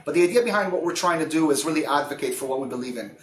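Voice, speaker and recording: A man's voice: on the high side, lively intonation, rapid-fire. A man hosting a show, talking in a casual, earnest monologue full of hesitant fillers. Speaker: show host. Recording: echoey room with faint background noise.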